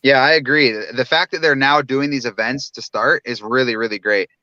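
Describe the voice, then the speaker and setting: A man chatting casually as a livestream guest, talking loosely and haltingly about his opinions. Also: mid-range voice, pushed and bright, no echo. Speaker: guest theme park reviewer. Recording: dull, lo-fi, dry call audio.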